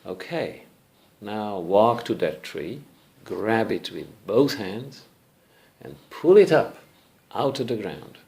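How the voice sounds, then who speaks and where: A man's voice: lowish voice, lively intonation, slow pace, creaky, dark tone, exaggerated voice. A man voicing a kind, patient shepherd father encouraging his son in a simple storybook tale. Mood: instructing.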